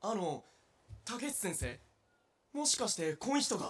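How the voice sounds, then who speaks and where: A woman's voice: medium pitch, big pitch swings, brisk, natural voice. A woman voicing a deferential, easily startled young follower in a period game drama. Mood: surprised, hesitant.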